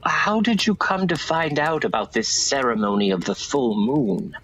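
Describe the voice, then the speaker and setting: Guy acting as a formal curious questioner in a radio play, expressive up and down voice, mid pitch. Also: normal speed, lo-fi sound, slight background noise. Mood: intrigued, probing. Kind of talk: dramatic radio play dialogue.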